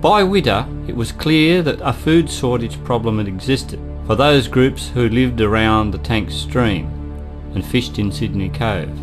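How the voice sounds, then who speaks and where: A man's voice: medium pitch, a little slow. Man, scripted historical narration, radio play, mid-range voice, guitar playing behind. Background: guitar music.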